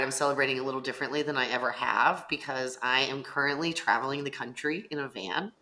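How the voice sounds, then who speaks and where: A very low-pitched speaker with a bright, forceful edge. She talks earnestly and reflectively as a panelist, and her stories pick up animation. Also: rough recording. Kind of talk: panel discussion.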